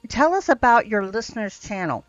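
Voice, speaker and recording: A woman co-hosting a podcast, speaking in a halting way with many fillers. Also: on the high side, big pitch swings, dry recording, faint music behind. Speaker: podcast co-host. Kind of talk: casual chat on a podcast. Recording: dull, dry home mic.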